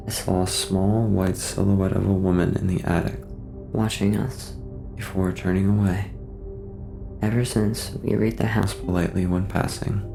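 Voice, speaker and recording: A man reading scripted horror case files as a narrator, in a measured, formal way. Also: lowish voice, vocal fry. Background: music.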